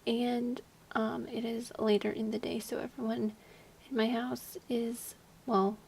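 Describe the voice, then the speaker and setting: A young woman giving casual voice-over commentary on a game build, speaking quietly at night while slightly hoarse from losing her voice, rambling with lots of fillers. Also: on the high side, little pitch movement, measured pace, a little hiss or hum. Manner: rambling, chatty, many fillers; hushed, keeping quiet at night. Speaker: video creator. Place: home at night, at a desk.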